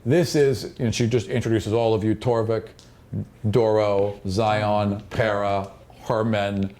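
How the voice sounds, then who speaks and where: Mellow-voiced man running a tabletop game. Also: fairly low pitch, flat delivery, slow pace. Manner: descriptive scene-setting with casual asides. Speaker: game master. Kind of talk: narrating a tabletop fantasy game.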